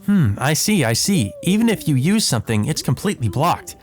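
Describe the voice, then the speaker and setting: Man putting on a high-pitched flirtatious woman doctor. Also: big pitch swings, brisk, soft background music. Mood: playful musing. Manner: gushing, sing-song teasing. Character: flirtatious, eccentric woman doctor, teasing and gushing. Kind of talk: visual novel character read-aloud.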